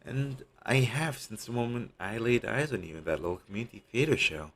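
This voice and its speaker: A man playing a devoted, apologetic talent agent in an audio roleplay, earnest and hesitant, with a husky, gravelly voice on a low-quality amateur recording. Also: brisk. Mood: sincere, fond. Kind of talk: scripted audio roleplay, intimate one-sided dialogue.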